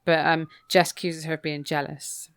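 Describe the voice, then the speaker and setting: A woman's voice: sits low, flat delivery, normal speed, clean tone. A woman co-hosting a podcast, casually recapping a book in an irreverent, wry, sometimes sweary way. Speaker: podcast co-host.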